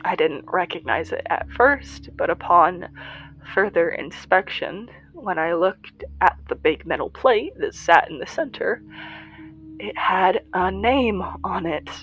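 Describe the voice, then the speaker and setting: A woman playing a young female survivor broadcasting in a podcast-style audio drama, confiding and emotionally raw, with halting delivery. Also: on the low side, expressive, fairly bright tone, lo-fi sound, soft background music. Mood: somber, halting. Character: young female survivor and pirate radio broadcaster, grieving, defiant.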